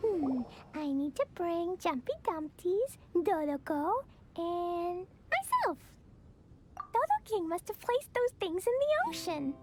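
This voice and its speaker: A woman voicing a small, brave and excitable little girl adventurer in an anime-style video game. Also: extremely high pitch, takes it slowly, slight background noise, heavily acted. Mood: earnest, determined. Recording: light music behind.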